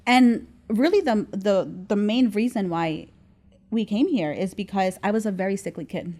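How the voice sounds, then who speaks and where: A woman's voice: medium pitch, animated, normal speed, dark tone. Woman in her 30s from mexico, guest on a chat podcast, thoughtful, lots of ums and restarts. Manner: reflective, frequent ums and restarts. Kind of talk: casual interview.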